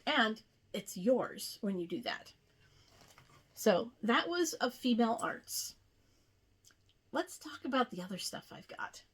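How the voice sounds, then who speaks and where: A woman hosting a casual craft show-and-tell, chatting in an unhurried way with rambling asides. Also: a little high, sing-song, a bit bright, slightly rough. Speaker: cross-stitch video host.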